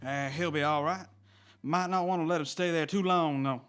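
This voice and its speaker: A man's voice: on the high side, fairly even intonation, normal speed, fairly bright tone, smooth, close to an everyday voice. A man with a Southern US accent playing an easygoing, folksy club owner in scripted comedy. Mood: matter-of-fact.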